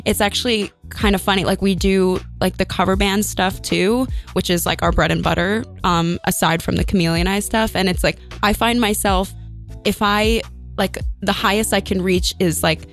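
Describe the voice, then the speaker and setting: A woman chatting casually as a musician guest in a radio studio, in a halting, giggly, conversational way. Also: mid-range voice, steady tone, faint music behind. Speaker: interview guest, vocalist and saxophonist. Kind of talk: casual chat.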